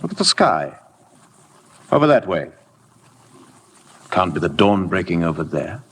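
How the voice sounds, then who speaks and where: An actor playing a troubled, urgent husband in a 1950 radio drama. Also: male voice, deep voice, sing-song, a little slow, slightly rough, full character voice. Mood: uneasy, alarmed. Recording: noisy vintage radio broadcast.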